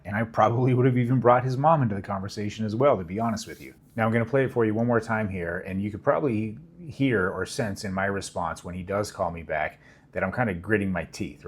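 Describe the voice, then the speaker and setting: A middle-aged man who works as a pilot, giving measured, earnest commentary to viewers and asking for their views. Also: on the low side. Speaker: vlogger and airline pilot.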